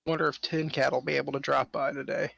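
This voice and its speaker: A man's voice: medium pitch, flat delivery, quick pace, gravelly, a bit bright, husky, dry recording, lo-fi sound. A man who plays in a tabletop game, chatting casually with friends. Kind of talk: casual chat.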